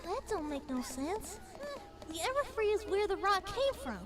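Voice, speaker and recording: A woman's voice: very high-pitched, sing-song, moderate pace. A woman voicing a frightened young pony filly with a folksy country twang, hesitant and breathless, in an audio drama. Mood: puzzled.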